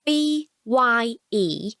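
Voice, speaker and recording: A woman voicing a confused elderly lady who murmurs slowly and wistfully to herself in a story. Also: on the high side, animated, bright, forceful tone, no room sound, clean studio sound, exaggerated voice. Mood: puzzled. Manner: slow, halting, murmured speech.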